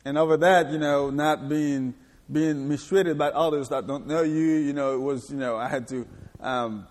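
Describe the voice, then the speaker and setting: A man from Haiti speaking with a slightly high voice, telling his story as a guest in a large hall with a long echo. He hesitates a lot and often restarts his sentences. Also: fairly even intonation, darkish tone. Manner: halting, with frequent restarts and laughs while telling hardships. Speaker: interview guest. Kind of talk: personal testimony in a church interview. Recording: reverberant hall.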